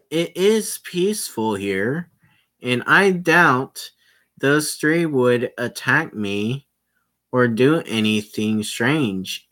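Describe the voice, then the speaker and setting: Casual male gamer reading a game aloud; very slow, bright voice, jokey and crude. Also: medium pitch. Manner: joking asides, swearing. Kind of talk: casual let's-play narration.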